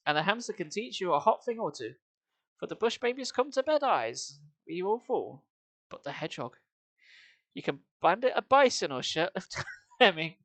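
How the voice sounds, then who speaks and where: Lively, swooping streamer voice, close and dry. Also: mid-range voice, moderate pace, no room sound. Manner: lilting singsong verse reading, amused. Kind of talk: live reading of comic verse, chatty asides.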